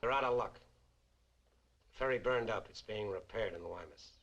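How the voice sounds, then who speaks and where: A weary American hostage in an old noir film. His voice is husky and a little low, and he speaks in dry, terse lines with quiet defiance. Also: moderate pace, lo-fi sound. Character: weary, defiant male American captive of a gunman. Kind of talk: 1950s film noir acting.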